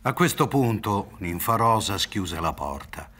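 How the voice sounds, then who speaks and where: A man's voice: on the low side, sing-song, unhurried, fairly bright tone. A middle-aged man reading scripted literary narration for a radio drama. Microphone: studio microphone, seated on a theatre stage.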